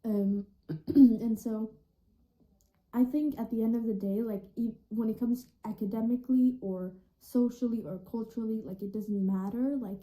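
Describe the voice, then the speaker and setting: Very mellow, soft-toned teenage female student. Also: a little high, fairly even intonation, moderate pace, poor audio. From Denmark. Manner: reflective, casual youthful phrasing with frequent "like". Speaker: high school student. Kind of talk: personal account to camera.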